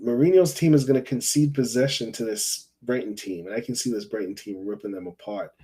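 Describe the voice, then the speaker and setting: A man from Jamaica hosts a casual football call-in show. His voice is mellow and dark, at a middle pitch. He rambles in a friendly way, repeats phrases and keeps adding "you know.". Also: a little fast, husky. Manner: chatty, rambling, repeats himself, says you know often. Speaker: fan call-in show host.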